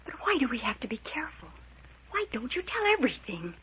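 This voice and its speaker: A woman's voice: on the high side, sing-song, fast talker, husky, full character voice. An actress playing a frightened, innocent young woman in an old-time radio mystery, anxious and pleading. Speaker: actor. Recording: dull, noisy vintage radio recording.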